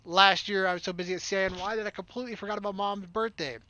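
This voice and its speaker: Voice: mid-range voice, fairly even intonation, racing, pushed and bright. A game streamer reading a story aloud as narration, with casual, wry asides. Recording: background music behind the voice. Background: game music.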